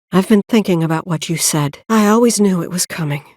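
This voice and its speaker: A woman playing a weary, protective middle-aged mother in a video game, speaking in an earnest, measured, maternal way. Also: lowish voice, darkish tone, no echo, clean studio sound, natural voice. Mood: tired, pleading. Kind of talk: naturalistic video game voice acting.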